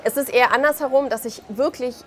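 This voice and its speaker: A woman's voice: high voice, fast, fairly bright tone, faint music behind. A woman in her thirties from Belarus speaking as an interview guest in an impassioned, colloquial way, often acting out quoted remarks. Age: 30s. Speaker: interview guest, author and journalist. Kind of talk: impassioned spoken interview answers.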